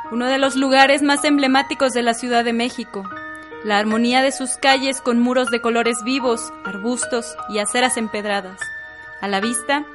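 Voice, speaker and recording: A young adult woman with a Mexican accent narrating a scripted radio drama. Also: a little high.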